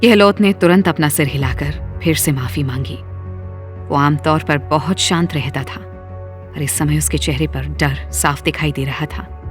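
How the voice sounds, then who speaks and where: Casting: audio story narrator, mid-pitched voice, scripted storytelling, soft music underneath. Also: unhurried.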